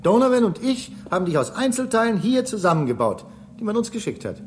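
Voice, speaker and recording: Casual space engineer, radio play, animated male intonation, jaded and mildly condescending, slight background noise. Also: sits high, brisk. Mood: patronizing explanation. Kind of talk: German radio play acting.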